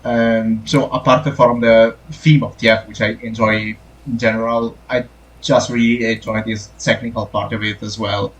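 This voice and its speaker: A man's voice: lowish voice, little pitch movement, moderate pace, low-quality recording. A man from Italy speaking English with an Italian accent, a guest artist chatting hesitantly with lots of fillers. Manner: hesitant, many fillers, searching for words. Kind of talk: casual podcast chat.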